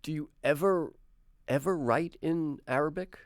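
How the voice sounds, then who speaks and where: A man's voice: a little high, a little slow, dry recording. A man hosting a radio interview in a studio, asking curious, conversational, roundabout questions. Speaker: radio host. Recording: dry studio sound.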